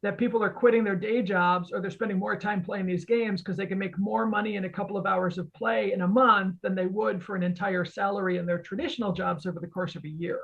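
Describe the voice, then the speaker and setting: Woman, creaky fry-heavy voice, guest expert, informal emphatic explanation, muffled lo-fi recording. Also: medium pitch, steady tone, brisk, few pauses. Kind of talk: conversational explanation.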